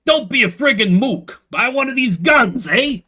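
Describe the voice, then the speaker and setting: A man acts out a streetwise arms dealer, pushy and coaxing. The slangy sales patter swoops up and down in a bright, forward tone. It is game character work for a small-time hustler. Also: very high-pitched, animated, a little slow, husky, voice pushed up, exaggerated voice.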